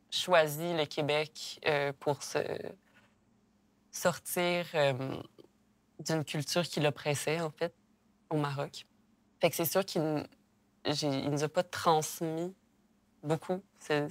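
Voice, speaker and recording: Québécoise woman thinking out loud, lots of euhs, low voice, dry sound. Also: steady tone, slow pace, long pauses, dry recording. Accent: Québécois French. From Quebec. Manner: hesitant, many fillers and restarts. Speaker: discussion participant. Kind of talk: reflective personal sharing.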